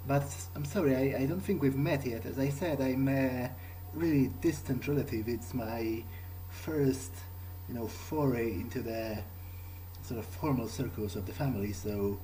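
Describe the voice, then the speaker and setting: A man improvising an in-character video game playthrough, speaking haltingly as he thinks aloud. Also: medium pitch, dark tone, slight background noise, poor audio.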